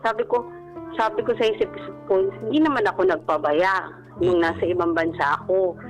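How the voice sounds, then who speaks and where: Casting: woman, 30s, low voice, polite radio caller telling her own story over background music. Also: moderate pace, low-quality recording. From Philippines. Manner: respectful, frequent polite particles. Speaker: caller on a radio advice show. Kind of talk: personal storytelling, conversational. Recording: radio broadcast with music bed.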